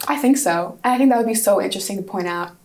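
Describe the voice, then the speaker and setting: A woman's voice: mid-range voice, rapid-fire, a little mellow. A woman in her twenties, a student guest in an interview, a native Hungarian speaker answering in a chatty, upbeat way with frequent restarts. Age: 20s.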